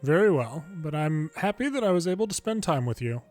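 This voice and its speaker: Higher-pitched man reading game dialogue aloud. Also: sing-song, brisk, a little mellow, soft background music. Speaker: gameplay video host reading subtitles aloud. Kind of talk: English read-aloud of game dialogue with casual asides.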